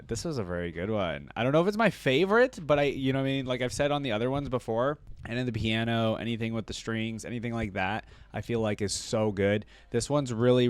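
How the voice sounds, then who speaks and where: A man's voice: mid-range voice, clear, resonant tone, no echo. A man hosting a reaction video, rambling conversationally and musing aloud in casual commentary. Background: game music.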